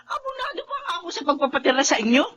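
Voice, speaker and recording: A woman's voice: high voice, sing-song, racing, a bit bright. An actress playing a scornful middle-aged mother-in-law, scolding and belittling in a melodrama. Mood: contemptuous. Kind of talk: Filipino melodrama acting.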